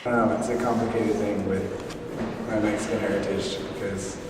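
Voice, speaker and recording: Young man, interviewee, halting, heavy reverb, noisy distant mic. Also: lowish voice, little pitch movement. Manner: halting, with many fillers. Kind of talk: casual interview answers.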